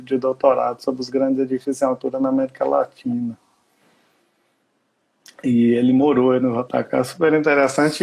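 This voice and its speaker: A man's voice: medium pitch, vocal fry, a little mellow, husky. A Brazilian man with a Minas Gerais accent, talking casually on a live stream as an architecture researcher, rambling with frequent filler tags and restarts. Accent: Minas Gerais Portuguese.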